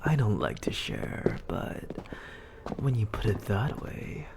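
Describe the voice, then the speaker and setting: A suave, haughty demon lord acted out for an ASMR roleplay speaks very slowly and theatrically into a close mic. The voice is creaky and swings expressively, often sinking into breathy whispers. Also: medium pitch, sing-song. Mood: intrigued.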